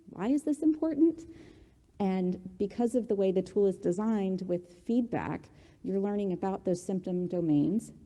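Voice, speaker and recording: Mellow low woman in a reverberant hall. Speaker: panelist presenting a mental health tool. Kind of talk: panel presentation.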